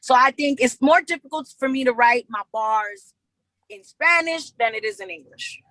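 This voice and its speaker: Bright-voiced New York woman rapper. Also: high-pitched, moderate pace, dry recording, poor audio. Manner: earnest, animated storytelling with repeated restarts. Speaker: rapper guest. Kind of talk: casual interview chat.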